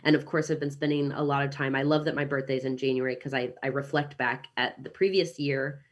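A woman's voice: rumbling low, steady tone, fairly quick. An American woman in her early thirties, a podcast guest talking in an upbeat, chatty way with many restarts. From Kansas City, USA. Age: 30s. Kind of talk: casual interview chat.

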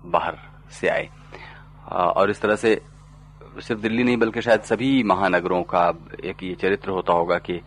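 A man asking long interview questions, halting with many hesitations. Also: deep voice. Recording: old tape recording.

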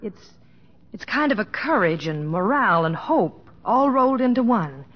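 Devoted American wife, muffled old radio. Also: female voice, mid-range voice, big pitch swings, moderate pace, slightly rough. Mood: tender, fussing. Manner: tender, earnest, gently chiding. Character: devoted, sensible middle-aged wife of a cowboy entertainer. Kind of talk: 1950s radio drama acting.

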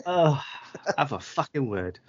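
A man's voice: mid-range voice, big pitch swings, measured pace, slightly rough, muffled recording. A British man co-hosting a podcast, chiming in with sweary, jokey quips in casual banter about films. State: tipsy. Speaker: podcast co-host.

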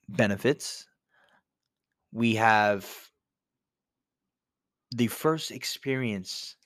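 A young man talks casually on his solo podcast, rambling and filling his sentences with "you know". His voice sits low and comes through smooth and clear, with a slight congestion from a cold. The sound is dry and close, with no room echo. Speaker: podcast host.

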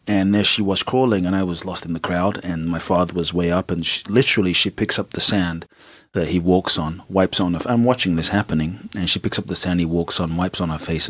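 A man from Ghana speaks as a podcast guest with a low voice, earnest and reflective. He tells his stories vividly. The recording is dry. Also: fairly bright tone, dry recording. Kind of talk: interview storytelling.

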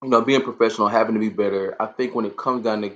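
A man's voice: fairly low pitch, fairly even intonation, very fast, a bit bright. Reflective man on a dull recording. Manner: thoughtful, reflective, lots of fillers. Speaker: panelist. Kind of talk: casual discussion.

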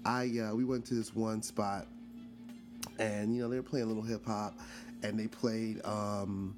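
A man's voice: fairly low pitch, level tone, a little slow. Chatty man in his forties, faint music. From Brooklyn, New York. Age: 40s. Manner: chatty, frequent fillers and restarts, asks listeners questions. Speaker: podcast host. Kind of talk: casual storytelling monologue.

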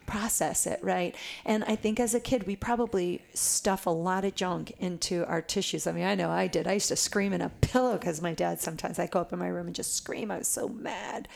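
A woman who works as a body worker, speaking in casual conversation with a raspy voice and a reflective manner full of fillers. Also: fairly low pitch, a little fast, hardly any pauses, darkish tone.

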